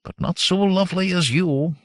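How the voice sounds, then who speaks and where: A man voicing a self-assured, flattering nobleman courting in flowery words in a fantasy audiobook. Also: high voice, moderate pace, no room sound, clean studio sound, pitched above the performer's own voice. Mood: wooing.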